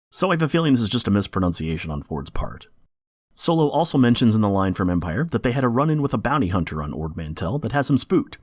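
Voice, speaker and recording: A man's voice: fairly low pitch, no room sound. A man hosting a podcast in scripted, informative narration. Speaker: podcast host.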